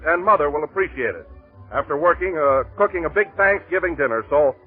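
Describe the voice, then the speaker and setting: A male announcer reads old-time radio ad copy with polished, flowery emphasis. His voice sits a little high and has a husky edge. The recording is thin and lo-fi, with faint music. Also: animated, fairly quick. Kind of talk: scripted 1930s radio advertisement.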